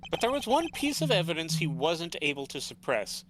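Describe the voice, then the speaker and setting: A man voicing a composed male prosecutor in a fan dub of a game, building dramatic reveals in a measured way. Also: on the high side, big pitch swings, heavy background noise. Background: game music.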